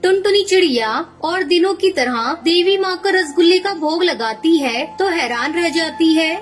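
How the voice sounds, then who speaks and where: A woman's voice: very high-pitched, animated, normal speed. A woman giving scripted narration of a children's moral story.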